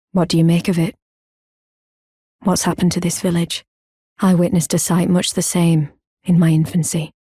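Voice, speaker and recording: An actress voicing a proud, earnest warrior woman in a video game, speaking in a solemn, measured, formal old-fashioned way. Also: lowish voice, mellow, slightly rough, no room sound, studio quality. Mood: grim, righteous. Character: proud, earnest woman warrior, grown, stoic and honour-bound. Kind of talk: video game character acting, formal archaic speech.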